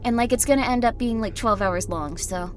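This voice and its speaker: A tired woman streaming a game, chatting casually in a slightly raspy voice, rambling with many fillers. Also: a little high, fairly quick. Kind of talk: casual chat while playing a game.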